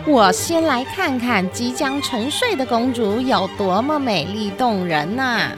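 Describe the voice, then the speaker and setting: Storybook dark witch, played by a woman: scornful, wounded, taunting, animated, unhurried delivery. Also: on the high side, over music. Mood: menacing. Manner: taunting, theatrical.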